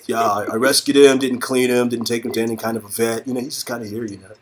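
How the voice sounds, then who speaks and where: A man with a husky, unpolished voice reads a story game aloud. He narrates the action, then slips into casual, joking asides to his co-reader. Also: medium pitch, steady tone, fast talker. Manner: informal, jokey asides. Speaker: co-reader in a two-person game read-through. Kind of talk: narration of a visual novel mixed with casual banter.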